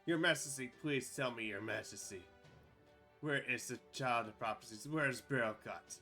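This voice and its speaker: Man doing a betrayed beast-clan warrior lord, shouting and raging, bright hard voice, fan-dubbed fantasy cartoon. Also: medium pitch, moderate pace, soft background music. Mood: pleading. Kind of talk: fan dub of an animated fantasy scene.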